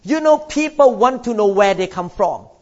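A man's voice: high voice, expressive, darkish tone, dull audio. An older man from Thailand preaching a sermon in a church, stretching out key words for emphasis. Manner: emphatic, drawn-out stresses. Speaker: pastor.

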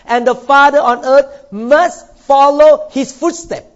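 A man's voice: very high-pitched, fairly even intonation, highs cut off. An older man from Thailand preaching a sermon in a church, stretching out key words for emphasis. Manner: emphatic, drawn-out stresses. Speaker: pastor.

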